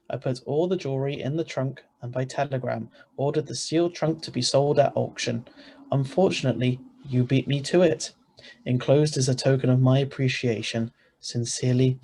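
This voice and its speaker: A man's voice: mid-range voice, steady tone, normal speed, warm tone, lightly acted. A man playing an ordinary, easily flustered married man who narrates his own story in an old-style radio play, read over a video call. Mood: puzzled, domestic. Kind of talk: 1940s radio acting read aloud.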